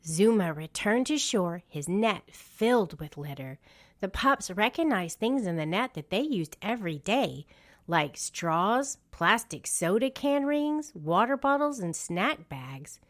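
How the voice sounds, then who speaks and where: Slow, animated woman reading a children's story. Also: medium pitch. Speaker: storybook narrator. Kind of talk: children's read-along narration.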